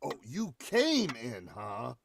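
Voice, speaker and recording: A man's voice: mid-range voice, huge pitch swings, slow, gravelly, heavily acted. An actor playing a suspicious, accusing man in 1940s radio horror style, firing pointed, skeptical questions. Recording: loud background noise, insect-like ambience.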